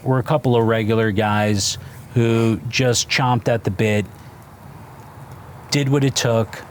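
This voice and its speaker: A man in his fifties from New Jersey, a restaurant founder talking casually in a fireside interview, halting with fillers and restarts. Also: medium pitch, steady tone, slight background noise. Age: 50s. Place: outdoors by a fire pit.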